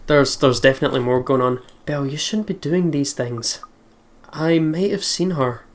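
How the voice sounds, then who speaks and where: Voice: mid-range voice, normal speed, slight background noise. A let's play co-host chatting casually and commenting on a game.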